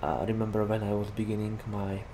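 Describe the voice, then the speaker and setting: A man's voice: on the low side, little pitch movement, fast talker. A young man from Slovakia with a Slovak accent, chatting casually with many "like" fillers while commenting on his gameplay. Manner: rambling, chatty, heavy use of "like" fillers. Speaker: gaming YouTuber. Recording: game vehicle noise behind voice.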